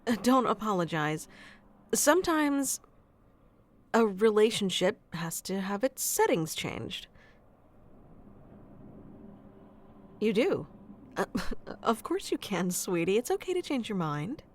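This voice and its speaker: A woman's voice: mid-range voice, very animated, a little slow, a little hiss or hum. A woman playing a sweet, nurturing AI relationship coach in an ASMR roleplay, speaking tenderly and reassuringly to one listener. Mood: gentle, reassuring.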